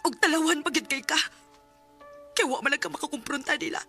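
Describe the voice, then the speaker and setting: A high, piercing young Cebuano woman's voice a betrayed younger sister in a radio drama. She is bitter and openly emotional, with melodramatic delivery over faint music. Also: fast, bright, forceful tone. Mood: anguished, self-blaming. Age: young adult. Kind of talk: Bisaya radio drama acting.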